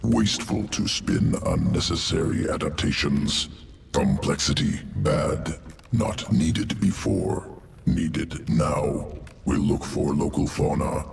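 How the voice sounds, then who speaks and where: A man voicing a cold, clinical alien insectoid evolution master in a video game, with a guttural creature rasp and clipped, telegraphic, detached speech. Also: very deep voice, a little hiss or hum, full character voice. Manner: clipped telegraphic sentences without articles, detached and deliberate. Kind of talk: video game character acting. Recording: music behind the voice.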